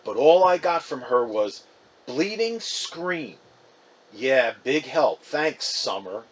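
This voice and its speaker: A man's voice: mid-range voice, very animated, slow pace. A man reading a storybook as a chatty, wounded, defensive fifth-grade boy, casual and emphatic. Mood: baffled, huffy.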